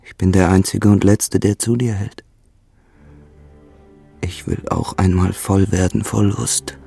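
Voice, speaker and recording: An actor playing a poor, jealous and possessive farmhand in a German radio drama, with a brooding, insistent and menacing manner and a gravelly, husky voice. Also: male voice, sits low, little pitch movement, a little slow, dark tone, soft background music, full character voice. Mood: hard, possessive.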